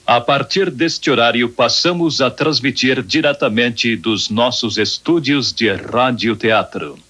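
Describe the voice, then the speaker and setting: A man's voice: medium pitch, unhurried, lightly acted. A man narrating an old-time radio drama from a script, solemn and theatrical. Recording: radio narration over music bed.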